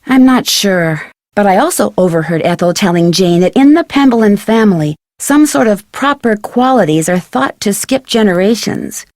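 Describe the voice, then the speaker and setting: A woman's voice: mid-range voice, lively intonation, normal speed, darkish tone, no room sound, close to an everyday voice. A woman playing a curious young female detective in a video game, speaking conversationally with an American accent in an earnest, matter-of-fact way. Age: young adult. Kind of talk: video game dialogue acting, conversational phone chat.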